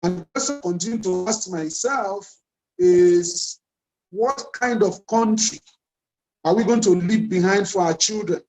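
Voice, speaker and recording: A man's voice: high voice, a little slow, a little mellow, poor audio. A man in his fifties with a Nigerian accent speaks to a young audience over a video call. He talks earnestly, circles back to the same questions and repeats lines to drive them home. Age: 50s. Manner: earnest, repeats key phrases and asks rhetorical questions. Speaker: conference speaker addressing children. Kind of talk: motivational speech to young people.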